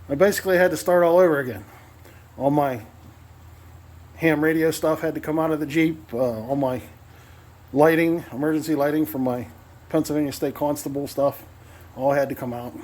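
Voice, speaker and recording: A man's voice: on the high side, normal speed, long pauses, darkish tone. Casual American man, folksy vlogger. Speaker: vlogger, radio hobbyist. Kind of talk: casual vlog walkthrough.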